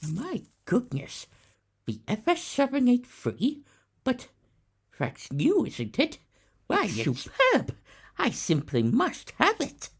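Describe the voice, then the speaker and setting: A man playing a fussy, excitable old business tycoon in an audio drama. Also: very high voice, slow pace, creaky, husky, full character voice. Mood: excited.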